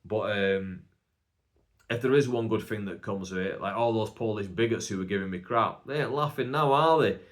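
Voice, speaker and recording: Young Bolton comic, solo podcast host: rambling, self-deprecating, slightly low register. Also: male voice, normal speed. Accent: Northern English, Bolton. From England. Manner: chatty, rambling, self-mocking, many "like" fillers. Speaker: podcast host and stand-up comedian. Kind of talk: casual solo podcast monologue.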